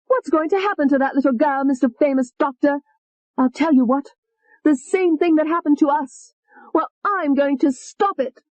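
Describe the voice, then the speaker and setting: A woman's voice: high voice, normal speed, darkish tone, dry recording, pitched above the performer's own voice, close to an everyday voice, rough recording. A woman voicing a furious, vicious young woman alter who screams and taunts. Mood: taunting.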